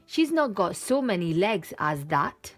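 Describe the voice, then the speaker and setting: A woman's voice: fairly low pitch, big pitch swings, unhurried, faint music behind. A woman voicing a small lost monkey child in a children's storybook, protesting emphatically as it searches for its mum. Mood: plaintive, explaining. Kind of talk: children's picture book read-aloud.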